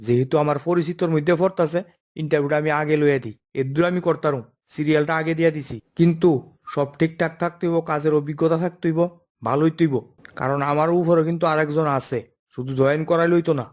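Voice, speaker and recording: A man playing a stern high-voiced cartoon office boss. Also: steady tone, quick pace, darkish tone, lightly acted. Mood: firm, warning. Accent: eastern Bengali dialect. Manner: bossy, admonishing, explanatory. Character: stern, by-the-book male office boss holding job interviews. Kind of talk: comic cartoon dialogue.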